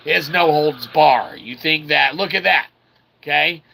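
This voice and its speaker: Gravelly man doing gaming commentary. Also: a little high, a little slow, bright, forceful tone. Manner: mock-formal, wordy phrasing mixed with slang; refers to himself as "we". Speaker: gaming channel host. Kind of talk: casual video game playthrough commentary. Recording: clearly noisy background.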